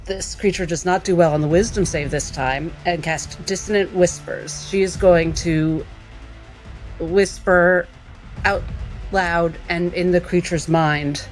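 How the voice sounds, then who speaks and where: A mid-pitched player talks through a spell in a thoughtful, hedging way. Faint music plays behind. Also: fairly even intonation, measured pace, low-quality recording. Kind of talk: tabletop game play.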